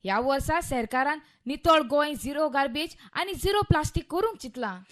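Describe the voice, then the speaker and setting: A woman playing a brisk, indignant government garbage collector with a Goan accent in a radio play. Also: high voice, animated. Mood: officious, explaining. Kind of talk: Konkani radio play acting.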